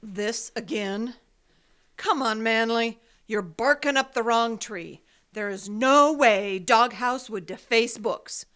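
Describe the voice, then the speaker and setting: An actress playing a stern, impatient head librarian in an old-time detective radio play, curt and scornful. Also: female voice, a little high, slow, a bit bright. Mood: scornful, angry. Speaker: actor.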